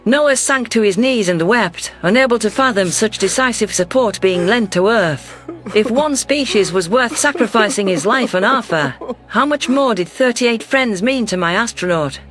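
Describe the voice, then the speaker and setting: A man reading scripted first-person science fiction narration in a measured, literary delivery. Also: high voice, animated, a bit bright, faint music behind. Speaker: audiobook narrator.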